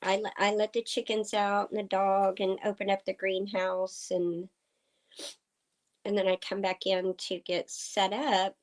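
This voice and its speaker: Slow-talking slightly hoarse woman. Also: medium pitch, little pitch movement. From Oklahoma. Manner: slow, chatty, talking to viewers. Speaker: livestream host. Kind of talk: casual livestream chat. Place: farm home indoors. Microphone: computer streaming setup.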